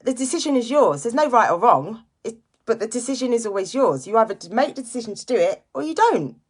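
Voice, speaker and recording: A woman's voice: a little high, sing-song, fast, husky. Emphatic woman in her late forties. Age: late 40s. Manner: emphatic, repeats key phrases for effect; chatty, informal direct address to listeners. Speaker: live-stream host, direct seller giving motivational talk. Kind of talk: casual motivational live chat to viewers.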